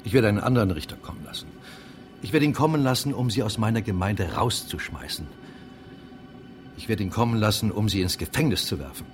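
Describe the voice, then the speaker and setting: An actor playing an angry, bullying middle-aged mayor in a German radio drama, scornful and commanding. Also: male voice, lowish voice, lively intonation, brisk, soft background music, natural voice. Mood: threatening.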